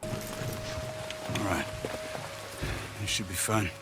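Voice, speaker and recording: An actor playing a calm, cautious outlaw companion in a video game, speaking in a steady, reassuring way. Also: male voice, sits low, extremely slow, music in the background. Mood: cautious, hushed. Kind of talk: video game cutscene acting.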